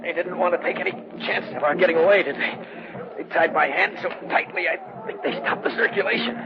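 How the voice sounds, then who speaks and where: A man's voice: high voice, fast talker, a crowd in the background, close to an everyday voice. A male actor playing a level-headed, knowledgeable expedition member, earnest and grave, in 1950s radio drama style. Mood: grim. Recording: noisy, muffled old radio recording.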